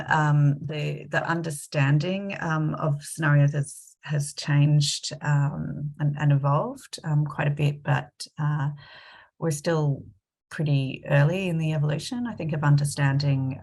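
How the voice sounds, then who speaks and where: Woman researcher with a cold, low monotone voice, hesitant delivery. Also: vocal fry, husky. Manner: hesitant, many fillers. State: coming down with a cold, sniffly. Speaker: independent consultant and researcher. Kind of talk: online panel talk.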